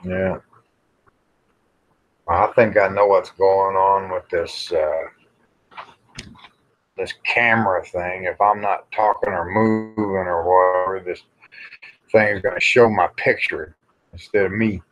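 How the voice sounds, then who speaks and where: A man's voice: low-pitched, fairly even intonation, takes it slowly, long pauses, a bit bright. An American man, middle-aged or older, hosting a casual, rambling livestream chat in a folksy, plain-spoken way with some mild cussing. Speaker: livestream host. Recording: dull, lo-fi livestream audio.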